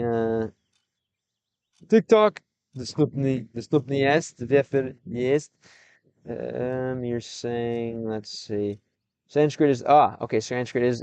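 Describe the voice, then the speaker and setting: A young American man chatting with his stream viewers, switching between English and halting Russian, pausing often as he reads the chat. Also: mid-range voice, warm tone, clear, resonant tone, no echo, dull audio. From United States.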